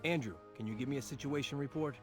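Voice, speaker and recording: An actor playing a businesslike army colonel in a video game, speaking in a direct, decisive way. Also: male voice, mid-range voice, quick pace, close to an everyday voice. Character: businesslike army colonel, the game's commanding hero. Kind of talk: scripted video game dialogue. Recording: music clearly under the voice. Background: game music.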